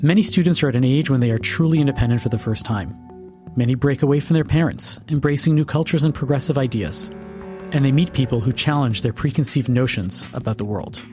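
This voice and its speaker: A man, a rabbi and writer, co-hosting a podcast, narrating and asking guests questions in a measured, reflective way. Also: medium pitch, over music. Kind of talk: scripted narration and interview questions.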